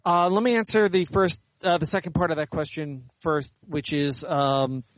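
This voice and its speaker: A man's voice: fairly high pitch, steady tone, normal speed, no room sound, low-quality recording. A middle-aged or older man answering interview questions in a candid, articulate, explanatory way. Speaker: interview guest, science fiction author and editor.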